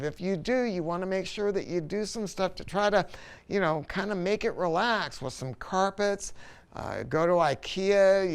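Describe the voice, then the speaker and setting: Higher-pitched, clear-voiced man reviewing gear. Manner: folksy, colloquial, emphatic salesman's delivery. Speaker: audio shop presenter reviewing a loudspeaker. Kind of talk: casual product review monologue.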